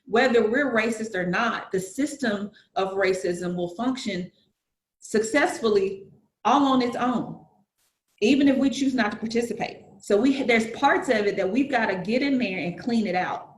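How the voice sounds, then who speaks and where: A woman's voice: a little high, moderate pace, reverberant, a little hiss or hum, rough recording. A woman with a Southern US accent in casual conversation, earnestly explaining her points with analogies and frequent fillers. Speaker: guest.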